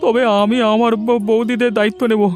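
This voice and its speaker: A man's voice: extremely high pitch, little pitch movement, fast talker, darkish tone. A man playing a scheming, cowardly village brother-in-law in a cartoon moral story, whiny and agitated. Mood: contrite begging.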